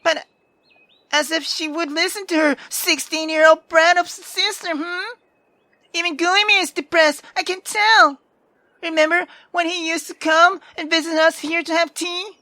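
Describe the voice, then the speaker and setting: A woman's voice: very high-pitched, fairly even intonation, moderate pace, bright, forceful tone, no room sound, pitched above the performer's own voice. A woman voicing a brash, sarcastic sixteen-year-old girl who is theatrical, teasing and foul-mouthed in a visual novel read-aloud. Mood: exasperated.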